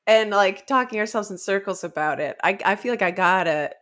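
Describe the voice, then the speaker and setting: A woman's voice: mid-range voice, lively intonation, brisk, a bit bright. A young woman co-hosting a podcast, chatting casually about books and reading passages aloud into a close microphone. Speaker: podcast co-host. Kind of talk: casual book discussion with read-aloud passages. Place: indoor room, remote video call. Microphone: close studio microphone.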